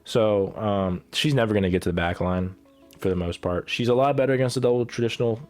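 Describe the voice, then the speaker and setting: A man with a lowish voice talks through a casual, rambling commentary, cursing freely and stumbling through restarts and fillers. He is coming down with a cold and coughs now and then. Soft music plays faintly behind him. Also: fast. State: getting sick, coughing. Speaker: gaming content creator ranking characters.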